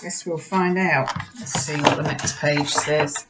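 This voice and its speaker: A woman reading a children's story aloud as a teacher would, with expressive delivery and asides to young listeners. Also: sits low, a little slow, heavy background noise. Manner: asks the listening children questions. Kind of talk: expressive picture-book read-aloud with asides.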